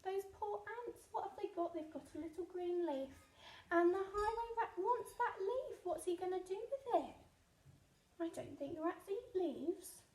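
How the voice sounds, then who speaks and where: A woman's voice: extremely high pitch, animated, measured pace, darkish tone. A woman storyteller reading a picture book aloud to young children, expressively, addressing them with questions. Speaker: nursery storyteller. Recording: echoey room.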